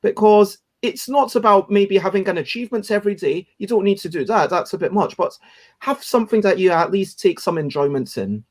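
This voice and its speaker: A man's voice: sits high, brisk, darkish tone. A man hosting a casual chat show, speaking in a rambling, encouraging way and often correcting himself as he goes. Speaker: host of a daily livestream chat show.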